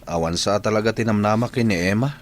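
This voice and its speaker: A man's voice: lowish voice, quick pace, slight background noise, lightly acted. A man playing a lovesick, stubborn young construction worker in a radio drama, earnest and plaintive. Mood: dejected.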